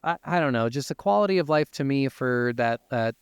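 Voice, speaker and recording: Smooth-voiced man, slightly high, casual and sweary. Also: expressive, brisk, no room sound, clean studio sound. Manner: informal, freely profane. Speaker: podcast co-host. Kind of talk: casual video game discussion.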